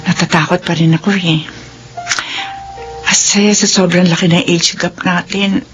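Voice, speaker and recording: An actress plays an older woman in love, earnest and emotional. Her delivery is tender and full of doubt, in the manner of sentimental radio drama. Music plays clearly behind her. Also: lowish voice, fairly even intonation, a little slow, lightly acted, rough recording. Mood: worried, hesitant. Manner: earnest, emotional, Tagalog mixed with English.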